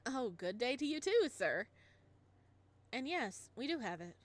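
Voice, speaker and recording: Woman reading a cheerful polite librarian lady, friendly, matter-of-fact, fairly high voice. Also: very animated, a little slow.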